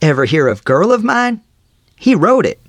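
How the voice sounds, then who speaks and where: A man doing a high, excitable village storekeeper. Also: moderate pace, no room sound. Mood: gossipy, gloating. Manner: folksy rural grammar, eager to tell news. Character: talkative gloating male village storekeeper. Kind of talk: storybook character voice.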